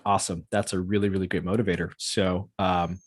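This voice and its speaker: A low-pitched man talks quickly through a practice real estate sales call. His voice has a rough, husky edge. He is polite and agreeable, repeating details back, and occasionally stammers over a word. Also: flat delivery. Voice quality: occasional stammer, gets hung up on words. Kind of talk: role-played phone sales call.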